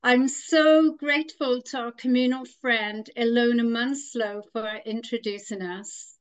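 A woman's voice: a little high, steady tone, a little slow. A woman hosting an interview, introducing her guest with enthusiastic praise. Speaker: podcast host. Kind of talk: interview host.

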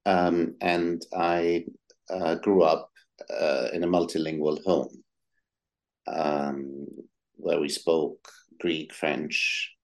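Deep gravelly hesitant man. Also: level tone, extremely slow. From Egypt. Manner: hesitant, many ums and uhs. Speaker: psychiatrist guest. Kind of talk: interview answers.

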